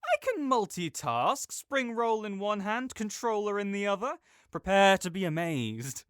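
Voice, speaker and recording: A man's voice: high voice, sing-song, measured pace, fairly bright tone, clean tone, no room sound, clean studio sound. A young man in an audio roleplay, talking as a supportive friend in a playful, reassuring way.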